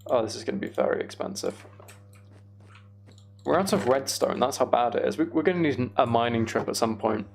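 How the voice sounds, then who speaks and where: Casual gravelly male game streamer. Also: medium pitch, big pitch swings, fairly quick, slight background noise. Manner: muttering to himself while working things out. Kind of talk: casual live gameplay commentary, thinking aloud.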